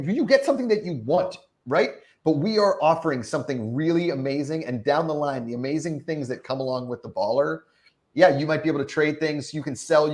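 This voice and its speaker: A man's voice: on the high side, darkish tone. A man hosting a live talk show in an informal, joking way. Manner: jokey, informal. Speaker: show host.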